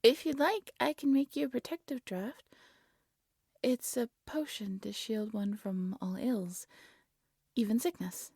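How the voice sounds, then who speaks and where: A woman playing a devoted, lovesick exiled alchemist in an intimate fantasy audio roleplay, tender and soft-spoken, often dropping into a whisper. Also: fairly high pitch, measured pace, breathy edge, no echo, clean studio sound. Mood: tender concern. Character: devoted, lovesick exiled alchemist practising dark magic, gentle.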